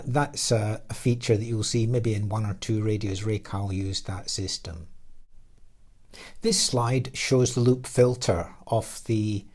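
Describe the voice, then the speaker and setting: A man narrating a technical slide presentation as an engineer, in a measured, hesitant manner with pauses in the middle of phrases. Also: mid-range voice, darkish tone. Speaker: radio design engineer presenting his product.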